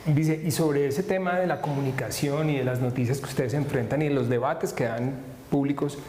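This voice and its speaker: A man's voice: mid-range voice, fairly quick, a little mellow, a little hiss or hum. A man working as a news interviewer, asking polite, formal questions. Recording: roomy echo with long reverb and faint ambience.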